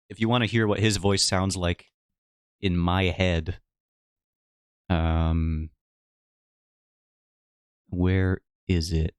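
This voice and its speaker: A man streaming while he draws his webcomic, who rambles in a casual, self-mocking and jokey way. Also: deep voice. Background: faint background music.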